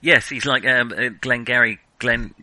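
A man co-hosting a casual film discussion, analytical and measured, often breaking off into hesitant asides. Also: medium pitch, fairly even intonation, pushed and bright, dry recording. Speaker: film podcast co-host.

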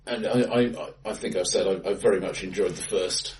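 A middle-aged man chatting casually about films in a dry, wry way as a podcast co-host, in a bright, echoey room. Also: deep voice, steady tone, moderate pace, distant mic. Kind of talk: casual film chat. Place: face to face in an acoustically bright room.

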